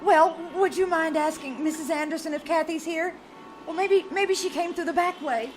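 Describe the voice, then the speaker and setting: A woman's voice: very high-pitched, moderate pace, rough recording. A woman acting in a live old-time radio drama as an anxious, distraught woman searching for a missing little girl. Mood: frantic, distressed. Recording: live stage with music underneath.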